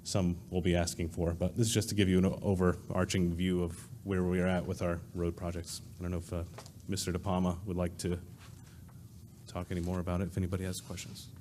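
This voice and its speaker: A man updates a town meeting on road work in an unpolished, hesitant way, pausing to recall the numbers. His voice sits low and monotone with a warm, dark color. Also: a little hiss or hum. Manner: hesitant, stops to recall figures. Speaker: town official presenting road projects. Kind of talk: informal public briefing.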